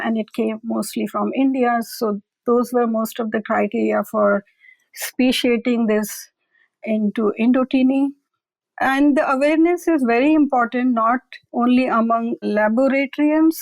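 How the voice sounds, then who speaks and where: A woman's voice: on the high side, darkish tone, no room sound, low-quality recording. A woman researcher being interviewed, explaining carefully and often adding "I will say". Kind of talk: conversational expert interview.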